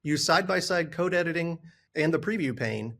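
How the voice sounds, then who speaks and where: A man reading scripted product narration in a measured, instructional way. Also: a little high, animated, gravelly, fairly bright tone.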